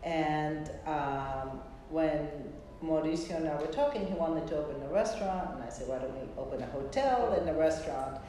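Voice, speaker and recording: A middle-aged woman being interviewed as a guest, explaining thoughtfully and haltingly in a conversational way. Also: deep voice, mellow. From Greece. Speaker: interview guest, hospitality entrepreneur. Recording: echoey room, distant mic, faint noise.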